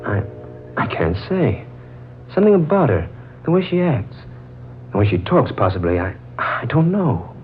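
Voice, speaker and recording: An actor playing a courteous, halting gentleman visitor in a 1940s radio drama. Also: mid-range voice, sing-song, soft background music, lightly acted. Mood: pensive, puzzled. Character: Duke, courteous gentleman visitor, puzzled and smitten. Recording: muffled, hissy 1940s radio recording.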